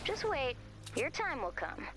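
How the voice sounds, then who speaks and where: Theatrical, lively saleswoman storyteller. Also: high voice, measured pace. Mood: ominous, persuasive. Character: theatrical woman pitching her clothing business as horror. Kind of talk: video game character voice acting. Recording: music behind the voice.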